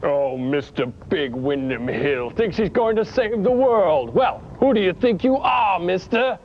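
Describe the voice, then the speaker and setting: A man's voice: high-pitched, animated, a little slow. A man reading a hammy spy villain in a mock-menacing, taunting voice. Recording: dull lo-fi TV recording with faint hiss.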